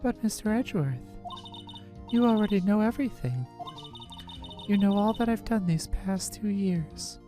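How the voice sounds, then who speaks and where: Woman acting a mellow, stiffly formal female prosecutor. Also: medium pitch, lively intonation, normal speed, pauses often, soft background music, natural voice. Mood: resigned. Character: stiff, resigned adult female chief prosecutor hiding anguish. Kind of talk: amateur video game voice acting.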